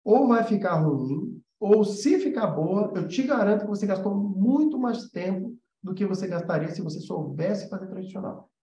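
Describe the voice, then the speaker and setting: A Brazilian man teaches in an informal, explanatory way, with a high-pitched yet soft-edged, mellow voice. He stresses his points by repeating them. The recording has a noticeable room echo. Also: animated, moderate pace, hardly any pauses. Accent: Brazilian Portuguese. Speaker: animation teacher and studio animator. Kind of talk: informal live-stream lesson. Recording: roomy echo, slightly dull sound.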